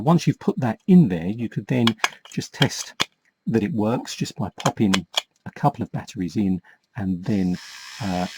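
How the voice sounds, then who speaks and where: A man with a slightly husky voice giving a casual step-by-step repair demonstration, explaining patiently and a little hesitantly as he works with his hands. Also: sits low, noisy background. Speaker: host of a toy repair tutorial.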